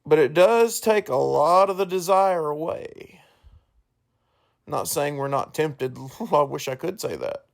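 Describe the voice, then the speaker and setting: A man hosting a solo podcast in an informal, earnest way, halting and correcting himself as he goes. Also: on the high side, vocal fry. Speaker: podcast host.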